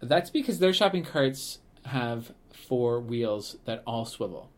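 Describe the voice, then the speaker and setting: A man co-hosts a casual podcast, chatting in a rambling way full of fillers and quick restarts. His voice sits at an ordinary middle pitch. He laughs often as he talks. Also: expressive. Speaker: podcast co-host, museum staff. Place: Canada.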